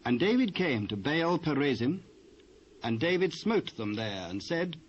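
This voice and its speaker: A British man narrating a dramatised Bible reading in a measured, formal manner. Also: fairly high pitch, very animated. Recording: dull, noisy old cassette.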